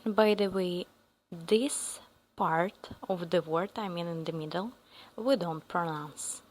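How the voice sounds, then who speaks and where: A woman with a Russian accent teaching a beginner language lesson, repeating each phrase slowly for learners to copy. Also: lowish voice, a little mellow, a little hiss or hum.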